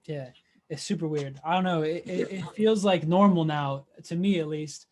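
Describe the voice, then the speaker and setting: A man's voice: on the high side, moderate pace, noisy background. A man hosting an interview over a video call, easygoing and full of fillers. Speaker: interview host. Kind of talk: casual interview chat.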